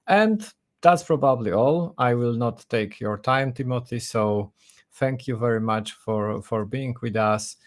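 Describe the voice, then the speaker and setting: A man hosting a live conference stream, speaking in halting English with many restarts. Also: mid-range voice. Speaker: conference host.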